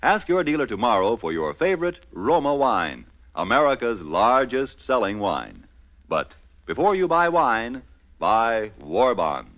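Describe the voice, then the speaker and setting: A man's voice: medium pitch, very animated, measured pace, a bit bright. A man reading a sponsor message as a polished, emphatic 1940s radio announcer. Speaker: commercial announcer.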